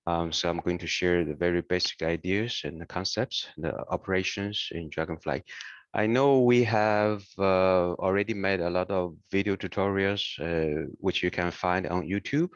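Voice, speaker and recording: A man who speaks English as a second language, hosting an informal online tutorial in a careful, halting way. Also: deep voice. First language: not English. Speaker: webinar host and software trainer. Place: indoor room, online webinar. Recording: muffled, roomy online call audio.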